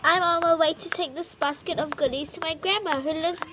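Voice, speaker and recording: A woman's voice: very high voice, a little fast, pitched above the performer's own voice. A woman voicing a sweet, naive little girl in a fairy tale, speaking in an earnest, exclamatory way. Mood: cheerful, innocent. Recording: noisy recording with clicks.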